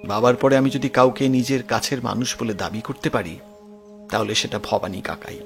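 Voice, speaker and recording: অভিনেতার কণ্ঠে শোকার্ত, নিঃসঙ্গ ছেলে, খসখসে ভাঙা গলা. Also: male voice, mid-range voice, fairly even intonation, moderate pace, creaky, over music, natural voice. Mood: sombre recollection. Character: grieving, lonely grown son recounting his murdered parents' past. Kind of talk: dramatized audio detective story dialogue.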